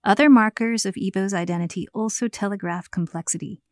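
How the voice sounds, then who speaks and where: An American woman speaks as a podcast host and narrator. Her delivery moves between prepared narration and conversation, at a middling pitch. The sound is close and dry, with very little room around it. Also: fairly quick, no echo. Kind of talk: scripted narration mixed with conversational interviewing.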